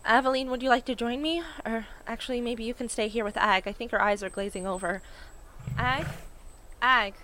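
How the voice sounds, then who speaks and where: A woman's voice: on the high side, steady tone, fairly quick, sharp tone, slight background noise, low-quality recording. An actress playing an anxious, wry and indecisive witch who chatters to herself in an audio drama. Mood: wry, concerned. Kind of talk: supernatural audio drama acting.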